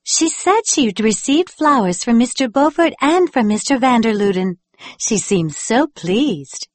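A man's voice: very high voice, lively intonation, unhurried, no room sound, voice pushed up. A man voicing a sweet, conventional young fiancée in a girlish, airy audiobook voice. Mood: gushing. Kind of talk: audiobook character voice.

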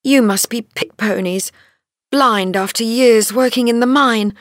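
A woman playing an earnest, anxious young heroine in a dramatised audiobook, with a husky, breathy voice and expressive, deliberate delivery. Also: a little high, no echo, studio quality, natural voice. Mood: pitying, curious.

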